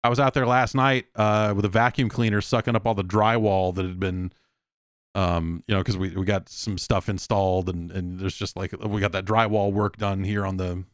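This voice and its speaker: A man's voice: deep voice, fairly even intonation, normal speed, smooth, no echo, clean studio sound. An American man in his late forties hosting a podcast solo, chatting casually in a rambling, wry, self-deprecating and sometimes profane way.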